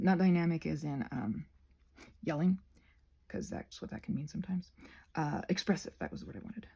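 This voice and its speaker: A woman rambling casually as she crafts for her ASMR channel, halting and self-deprecating, with a husky voice that often drops toward a whisper. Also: lowish voice, mellow. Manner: halting, meandering, self-deprecating, searching for words.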